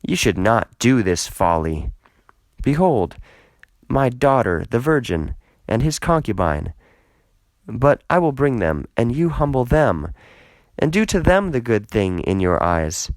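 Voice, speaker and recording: A man's voice: medium pitch, sing-song, unhurried, no echo, voice pushed up. A man reading a Bible story, voicing a hospitable, protective old householder in a solemn, emphatic manner. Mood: pleading.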